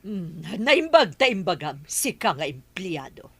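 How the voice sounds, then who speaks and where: A woman's voice: fairly low pitch, very animated, measured pace, background noise. Curt, imperious lady boss. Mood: stern. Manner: curt, demanding. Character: stern, suspicious mother, imperious lady boss. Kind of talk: Ilocano radio drama acting.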